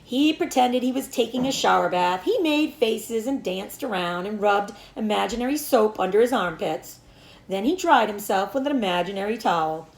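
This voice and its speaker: Animated woman reading aloud, roomy sound. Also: medium pitch, moderate pace, gravelly. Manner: animated storytelling with acted dialogue. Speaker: teacher reading aloud to pupils. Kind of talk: expressive children's book read-aloud.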